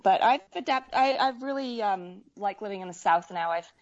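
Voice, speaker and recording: A woman's voice: medium pitch, animated, normal speed, lo-fi sound. A woman in her forties from Wales and western Canada, chatting casually as an interview guest with hesitant, stop-start phrasing. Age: 40s. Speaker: needlework artist, interview guest. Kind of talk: casual chat.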